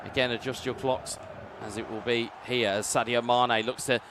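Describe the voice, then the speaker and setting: A male esports commentator giving play-by-play commentary on a football video game, building up and booming on big chances. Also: flat delivery, moderate pace, a bit bright, a little hiss or hum. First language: English.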